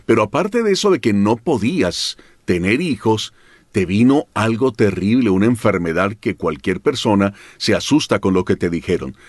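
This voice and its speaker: A man's voice: fairly low pitch, expressive, moderate pace, no echo, studio quality. A man hosting a faith testimony program, greeting his audience and interviewing a guest in a formal broadcast manner.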